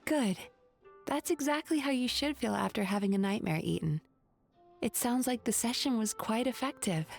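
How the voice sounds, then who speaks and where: A woman playing a gentle young dream therapist in an anime game, with a soft, calm, reassuring way of speaking. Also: fairly high pitch, moderate pace, vocal fry, faint music behind. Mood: soothing.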